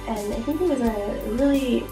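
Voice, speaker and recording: A woman's voice: on the high side, moderate pace. A woman reflecting in an interview as a member of a project's staff. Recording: echoey room, music behind.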